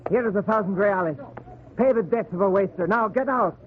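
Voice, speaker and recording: A high-voiced, vengeful colonial trader acted by a man in an old radio drama. He is impatient and declamatory, heard through a thin, very noisy recording. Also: fairly quick, narrow, tinny audio, close to an everyday voice. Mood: angry, impatient. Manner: impatient, declamatory, barking orders. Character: hot-headed, vengeful Spanish colonial trader. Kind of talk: 1940s radio acting.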